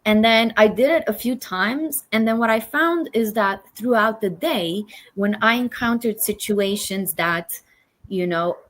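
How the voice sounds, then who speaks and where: A woman's voice: medium pitch, unhurried, fairly bright tone, rough recording. A woman host from Romania, chatting enthusiastically in a casual interview, with lots of fillers.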